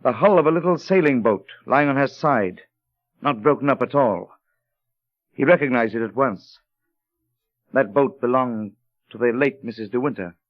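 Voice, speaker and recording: An actor playing a grave, tactful harbour master in 1930s radio drama, speaking in a measured, apologetic and hesitant way. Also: male voice, mid-range voice, lightly acted. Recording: muffled, thin old radio recording.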